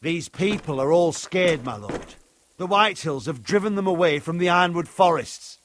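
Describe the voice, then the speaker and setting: Forceful, blunt warrior advisor. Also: male voice, on the high side, animated, moderate pace, a little hiss or hum. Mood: grave, urgent. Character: loyal, fierce, blunt warrior advisor to a lord. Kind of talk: dramatic video game acting.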